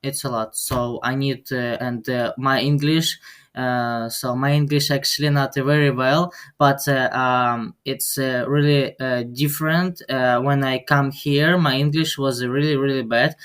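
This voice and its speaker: A teenage male interview guest with a Ukrainian accent, raised speaking Russian and Ukrainian. His voice is clear and smooth at a middling pitch. He talks slowly and haltingly, searching for words in broken English. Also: steady tone, a bit bright. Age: teens. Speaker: guest, high school soccer player. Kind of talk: interview answers.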